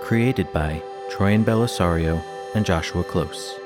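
Deep, slow male credits announcer. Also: over music. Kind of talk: scripted opening credits.

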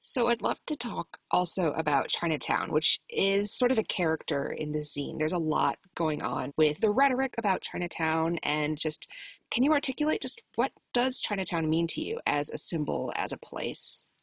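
A woman's voice: low voice, sing-song, moderate pace, gravelly, husky, dry recording. A woman interviewing a guest, asking polite, upbeat questions.

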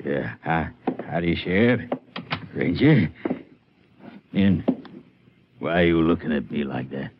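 An actor in a 1950s radio western playing a greedy, rough, excitable rural husband and killer. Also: male voice, a bass voice, takes it slowly, long pauses, full character voice. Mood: jovial, then wary. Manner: excitable, rough, wildly swinging delivery. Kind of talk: 1950s radio drama acting. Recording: dull, faintly hissy old radio recording.

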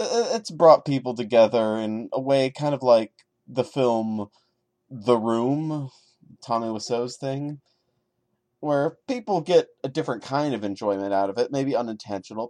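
A man's voice: medium pitch, darkish tone, no echo. A man hosting a podcast, dramatically reading a horror story and breaking in with wry, joking commentary. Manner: wry, jokey, chatty asides. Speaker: podcast host.

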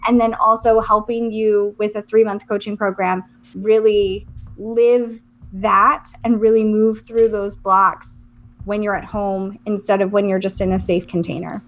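A woman's voice: medium pitch, level tone, unhurried, rough recording. A woman from southern Florida co-hosting a podcast, talking in an informal, chatty, self-deprecating way. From southern Florida, United States. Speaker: podcast co-host. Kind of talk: casual conversational podcast chat.

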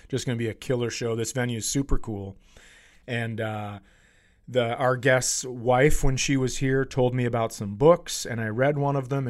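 A man hosting a comedy podcast, telling casual, profane stories in an animated way and acting out the quotes. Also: mid-range voice. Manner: animated, profane, acts out quotes in his anecdotes.

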